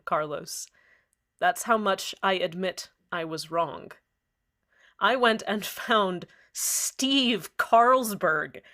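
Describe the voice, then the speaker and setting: A woman voicing a slow, remorseful radio host. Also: mid-range voice, fairly bright tone. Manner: earnest and halting, full of pauses. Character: heartbroken, apologetic community radio host. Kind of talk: fan radio drama monologue.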